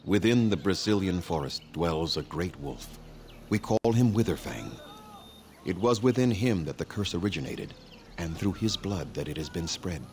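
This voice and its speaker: A grave elven clan leader from a fantasy game, with a very low voice and formal, measured speech. He sounds weary and solemn, with clear outdoor ambient noise around him. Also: lively intonation, darkish tone. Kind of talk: scripted video game dialogue.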